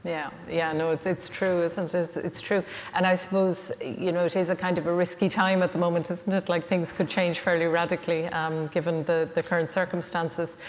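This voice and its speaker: A woman's voice: fairly low pitch, flat delivery, a little fast, few pauses, in a large hall. A woman interviewing someone on stage in a chatty, conversational way, phrasing things tentatively.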